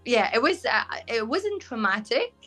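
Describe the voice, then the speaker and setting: A young woman from Syria with a British accent and Arabic as her first language, frankly answering interview questions and often pausing with "um" as she looks for words. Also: on the high side, sing-song, fairly bright tone, faint music behind. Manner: frank, fills pauses with "um", searches for words. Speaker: guest, bridal fashion designer.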